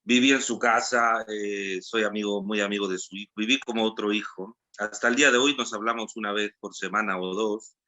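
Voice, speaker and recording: Chatty Guatemalan man, panel guest, bright forward voice, quick and self-mocking. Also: on the low side, fairly even intonation. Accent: Guatemalan Spanish. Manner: talkative, quick, self-deprecating. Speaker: panel guest, theater actor. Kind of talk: online panel discussion.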